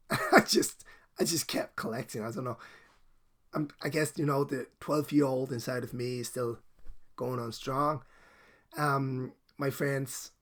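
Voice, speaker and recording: A man's voice: medium pitch, a bit bright. A middle-aged Danish man with a Danish accent touched by Irish and American traces, talking casually and apologetically in a heartfelt video message, rambling and laughing often. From Denmark. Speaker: comic book collector. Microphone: desk microphone.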